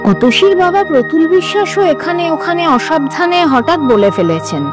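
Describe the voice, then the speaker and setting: A woman reading literary fiction as scripted narration, with an expressive, dramatic storytelling delivery. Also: very high voice, normal speed, music in the background. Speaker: story reader.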